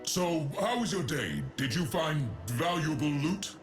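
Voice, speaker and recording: A man's voice: a little high, lively intonation, a little slow. A man playing a proud ancient emperor in a video game, formal and grand, fond of his descendant in an awkward way. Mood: awkwardly fond. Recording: echoing voice over background music.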